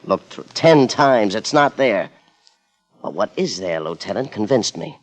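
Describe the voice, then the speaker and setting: An actor playing a guarded American crook under suspicion, defensive and self-justifying, in 1950s radio crime drama style. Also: male voice, fairly low pitch, very animated, a little slow, low-quality recording. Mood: insistent. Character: guarded male crook, smooth then defensive suspect.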